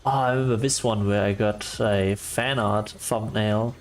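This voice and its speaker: A man streaming live and chatting casually with his viewers, soft and calm, often pausing on fillers. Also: lowish voice. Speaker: livestreamer.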